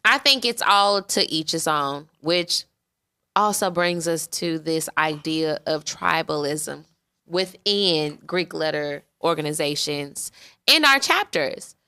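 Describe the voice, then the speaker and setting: Brief: measured woman, slow delivery, very bright, smooth voice. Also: fairly low pitch. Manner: measured, agreeing interjections. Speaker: podcast co-host. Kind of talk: casual podcast discussion.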